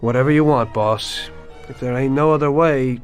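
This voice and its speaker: A man's voice: mid-range voice, moderate pace, close to an everyday voice. Man playing a terse, loyal mafia henchman. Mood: resigned, obedient. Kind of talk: dramatic video game cutscene acting. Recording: music behind the voice.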